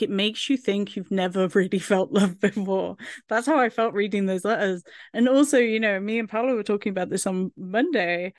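A woman's voice: medium pitch, no room sound, studio quality. A woman chatting casually as a podcast co-host, candid and self-reflective in an informal way. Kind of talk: casual chat discussion.

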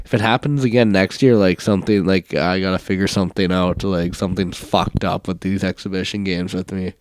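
A young man co-hosting a casual sports podcast, stuffed up from a cold and talking in a relaxed, rambling way. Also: sits low, a little fast. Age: young adult. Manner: relaxed, rambling, many fillers. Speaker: podcast co-host, university football player.

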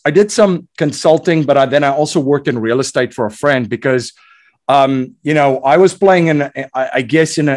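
South African-born man telling his story. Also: medium pitch. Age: 40s. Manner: energetic storyteller, many fillers and restarts. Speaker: guest, investor and entrepreneur. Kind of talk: podcast interview.

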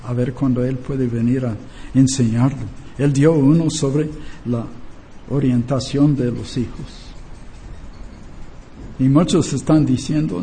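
A man's voice: a little high, creaky, warm tone, a little hiss or hum, poor audio. An older man in Spanish, preaching and teaching emphatically, repeating key phrases and asking rhetorical questions. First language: not a native Spanish speaker.

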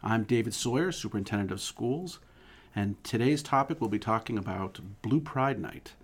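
A man's voice: fairly low pitch, expressive, normal speed, husky. A man, a school superintendent, hosting a podcast and asking questions in a friendly, slightly hesitant way.

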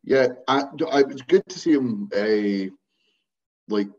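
A man's voice: medium pitch, measured pace. A man co-hosting a podcast in casual chat, recapping matches with a dry, wry manner. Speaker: podcast co-host. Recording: dull lo-fi podcast mic.

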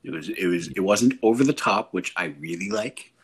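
A man with a lowish voice and a slightly husky edge talks eagerly about a film he loved. He swears casually and leans hard on his emphasis. It is relaxed chat between friends. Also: normal speed. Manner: enthusiastic, profane, emphatic. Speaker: podcast co-host. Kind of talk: casual movie review chat.

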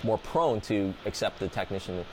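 A man's voice: lowish voice, expressive, moderate pace, a little hiss or hum, low-quality recording. Enthusiastic male vet radio host. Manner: enthusiastic, chatty. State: has a cold. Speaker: veterinarian and radio show host. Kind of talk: radio interview hosting.

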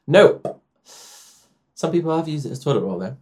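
A man's voice: fairly high pitch, expressive, normal speed, a little mellow. A man hosting a casual podcast, chatting in a joking, self-deprecating way and often trailing off. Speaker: podcast host. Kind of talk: casual comedic chat.

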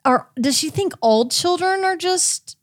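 A woman's voice: extremely high pitch, animated, no room sound. Casual woman podcaster, close dry sound. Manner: informal, filler-heavy, halting delivery. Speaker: podcast host. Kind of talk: casual chat recounting a court case.

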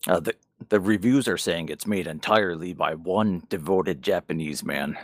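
A man's voice: low-pitched, normal speed, no echo, clean studio sound. A man co-hosting a podcast, chatting casually and frankly about games and manga, with some swearing. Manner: profane, frank. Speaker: podcast co-host.

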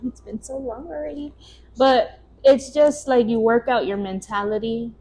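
A woman's voice: fairly high pitch, fairly even intonation, normal speed, warm tone. A woman of about twenty chatting casually as a podcast co-host. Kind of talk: casual chat.

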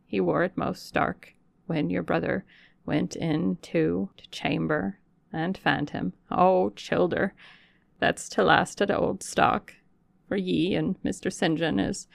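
A woman voicing a blunt, suspicious old female servant who speaks in a broad with a gruff, distrustful manner. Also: on the low side, steady tone, slow pace, no room sound, clean studio sound, heavily acted. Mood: fond, mournful. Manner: gruff, distrustful, plain-spoken.

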